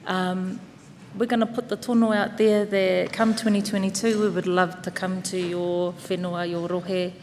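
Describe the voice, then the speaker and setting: A woman with a New Zealand accent gives a relaxed speech from a conference stage, with many ums and Māori words. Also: fairly low pitch, moderate pace, hardly any pauses. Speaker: conference presenter. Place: conference hall with audience. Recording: reverberant hall with crowd and faint noise.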